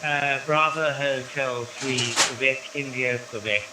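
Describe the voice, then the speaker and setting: A man from South Africa working as a ham radio operator, slowly spelling out call signs in phonetic code. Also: medium pitch, steady tone, echoey room, far from the mic, heavy background noise. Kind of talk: amateur radio contacts.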